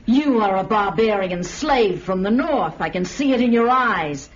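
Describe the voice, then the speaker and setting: A woman's voice: mid-range voice, animated, unhurried, highs cut off, lightly acted. An actress playing a mysterious, seductive woman who hides a monstrous nature, speaking in an alluring tone. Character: seductive witch-like woman, secretly a beast.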